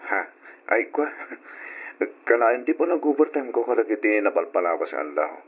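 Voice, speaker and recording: A man's voice: medium pitch, sing-song, moderate pace, breathy edge. An actor with an Ilocano accent playing a guilty, evasive married man who hesitates and stammers in an old radio drama. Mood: evasive, placating. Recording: lo-fi radio drama, faint noise.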